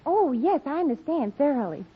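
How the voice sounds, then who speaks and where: A woman's voice: high-pitched, sing-song, normal speed. An actress playing a sweet young woman who introduces her father in a 1940s radio comedy. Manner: gushing, flustered. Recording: dull old radio recording with hiss.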